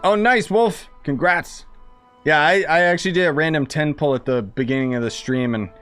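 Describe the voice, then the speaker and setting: A man's voice: on the high side, moderate pace, a bit bright, smooth. A man live streaming a video game with laid-back, jokey, off-the-cuff commentary. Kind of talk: casual gaming commentary. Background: game music.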